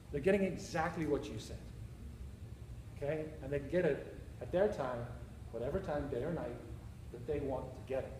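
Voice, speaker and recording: A man's voice: a little high, a little mellow, slight background noise. Northern Irish man presenting in an echoey room. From Northern Ireland. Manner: joking, checks in with the audience often. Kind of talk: conference talk. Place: conference room. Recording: echoey room, faint ambience.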